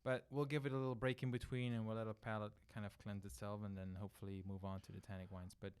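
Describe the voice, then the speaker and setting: Smooth-voiced Dutch-born man. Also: on the low side, flat delivery, fast, darkish tone. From southern Netherlands. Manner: explains at length with fillers and restarts. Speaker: winery managing director, guest. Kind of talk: conversational interview.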